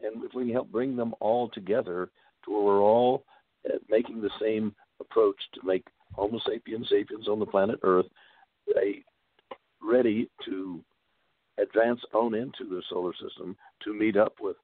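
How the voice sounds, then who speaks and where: A man guest on a talk show, losing his voice and speaking haltingly with many fillers. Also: on the low side, fairly even intonation, dry recording, phone-quality sound. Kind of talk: casual talk show chat.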